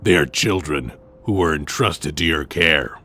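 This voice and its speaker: A man acting a stern, righteous masked vigilante in a motion comic, terse and grave with a raspy voice. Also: extremely low pitch, a little slow, sharp tone, exaggerated voice. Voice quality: raspy, husky.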